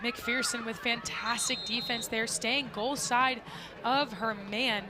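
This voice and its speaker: A woman's voice: a little high, fairly even intonation, slow. A woman giving colour commentary and analysis during a live soccer broadcast. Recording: live broadcast with crowd noise. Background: stadium crowd.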